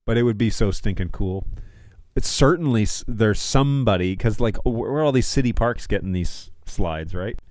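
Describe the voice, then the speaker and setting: A man's voice: on the low side, moderate pace, darkish tone, no room sound. A middle-aged man hosting a livestream, chatting informally and rambling as he thinks aloud while browsing. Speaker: livestream host.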